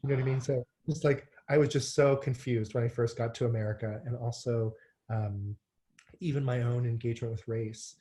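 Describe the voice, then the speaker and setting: A man in his thirties, from Hong Kong, talks as a podcast guest. His voice is mellow and dark, and his delivery stays flat and even. He tells his story casually, with ums and restarts. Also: medium pitch, brisk. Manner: relaxed, frequent fillers and restarts. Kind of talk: conversational storytelling.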